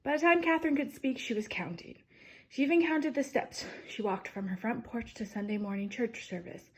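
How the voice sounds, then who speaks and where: A woman with a slightly high voice reads a children's biography aloud in storytime style. She phrases deliberately, starting sentences with emphasis and letting the endings fall away softly. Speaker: storyteller reading a picture book aloud.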